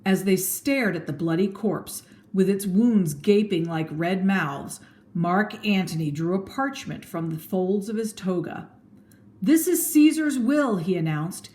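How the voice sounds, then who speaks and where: A woman's voice: lowish voice, a little slow, darkish tone. Woman narrating a story, echoey room. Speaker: teacher reading aloud.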